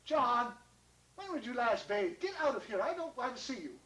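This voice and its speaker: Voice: mid-range voice, big pitch swings, moderate pace, a bit bright, reverberant, a little hiss or hum, voice pushed up. A storyteller voicing a brusque small-town lady, scolding and dismissive.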